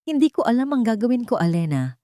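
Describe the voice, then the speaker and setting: A woman's voice: fairly high pitch, big pitch swings, quick pace, mellow, pitched above the performer's own voice. A distressed grown son from a romance audio story, voiced by a woman narrator. He speaks with pleading emotion. The recording is dry and free of echo. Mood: anguished. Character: distressed grown son pleading about his sick mother.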